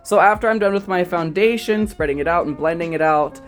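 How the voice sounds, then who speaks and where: A man in his twenties, a drag queen, talking through his makeup routine in a casual, self-mocking way, with lots of ums and quick giggles. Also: sits high, soft background music. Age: 20s. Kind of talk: casual makeup tutorial commentary.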